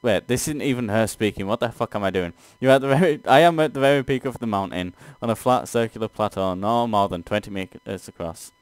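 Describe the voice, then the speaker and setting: A man's voice: fairly low pitch, fast talker, hardly any pauses, smooth, no room sound. A man doing a let's play, reading a text game aloud and reacting casually.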